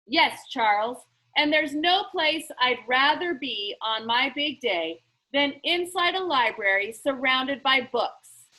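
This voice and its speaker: A woman's voice: lively intonation, bright, forceful tone, full character voice, low-quality recording. A woman voicing an eccentric, grandly stern man who presides like a judge in a children's storybook. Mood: cheerful, playful. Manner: booming, theatrical, deliberate. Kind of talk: children's book read-aloud.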